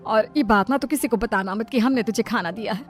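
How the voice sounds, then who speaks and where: A woman's voice: on the high side, fairly quick, faint music behind, natural voice. A woman voicing a traditional, submissive mother-in-law who admonishes yet cares, in a cartoon moral story. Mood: hushed caution.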